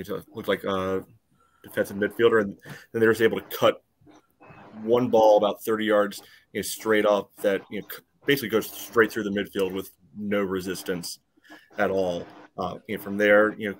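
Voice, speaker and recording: A man's voice: on the low side, slight background noise. A man co-hosting a sports podcast, explaining match play step by step in a casual, matter-of-fact way. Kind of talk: casual soccer match analysis.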